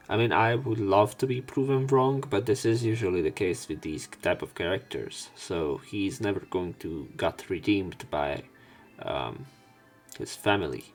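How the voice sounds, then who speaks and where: A man reading a visual novel aloud for a let's play, breaking in with casual, sardonic commentary. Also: lowish voice. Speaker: let's player.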